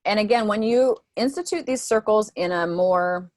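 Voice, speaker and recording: A woman's voice: medium pitch, moderate pace, a little mellow, no room sound, studio quality. A woman presenting to teachers on a mentoring video call, talking conversationally with frequent "um" and "right?" asides.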